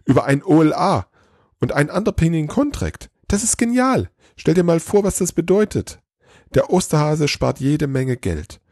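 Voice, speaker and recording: A man voicing an eager, excitable chief Christmas elf in a storybook tale, breathless and gushing. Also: on the high side, moderate pace, no echo, clean studio sound, voice pushed up. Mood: breathless excitement.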